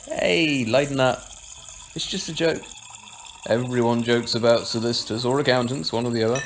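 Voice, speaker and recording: A man's voice: mid-range voice, moderate pace, a little hiss or hum, lightly acted. A man acting a carefree, joking pub regular in an amateur audio drama, talking in a casual, slightly hesitant, teasing way. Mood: teasing, nosy.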